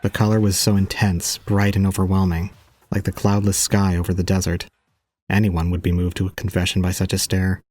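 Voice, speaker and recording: A man playing a detached, resigned young student, narrating solemnly and calmly in an audio drama. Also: deep voice, a little fast. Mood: brooding. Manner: solemn, calm, unemotional. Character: detached, resigned young male student recounting his own ordeal. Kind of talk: scripted first-person audio drama narration.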